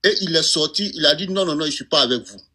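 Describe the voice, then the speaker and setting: Brief: man with a bright, husky, slightly high voice, measured questioner. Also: animated. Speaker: questioner in a debate. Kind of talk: casual debate talk.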